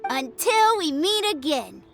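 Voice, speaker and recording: An actress voicing an eager, boastful young child who plays at being a sword hero in a video game, naive and excitable. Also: female voice, sits high, unhurried, creaky, faint music behind, heavily acted. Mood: cheerful.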